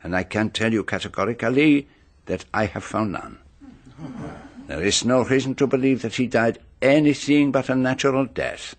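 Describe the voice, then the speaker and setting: An actor playing a vain, precise celebrated detective in a radio drama, with a pompous, formal manner. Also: male voice, medium pitch, moderate pace, natural voice. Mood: formal, self-important.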